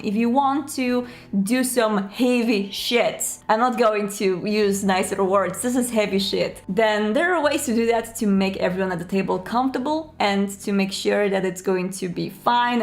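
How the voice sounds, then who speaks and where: A woman's voice: medium pitch, vocal fry. A woman hosting a casual explanatory vlog, talking candidly and informally with joking asides. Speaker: video host and tabletop roleplayer.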